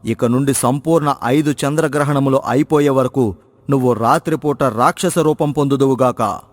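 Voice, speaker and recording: A man's voice: medium pitch, moderate pace, dry recording, studio quality, in a large hall, natural voice. Wrathful sage pronouncing a curse, done by a man. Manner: stern, archaic, solemn.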